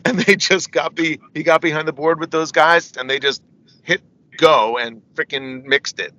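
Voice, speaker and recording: A man's voice: fairly high pitch, moderate pace, sharp tone, lo-fi sound. A middle-aged man, a metal singer, telling rambling stories as an interview guest, often asking "you know what I mean" and laughing between anecdotes. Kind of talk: casual storytelling interview.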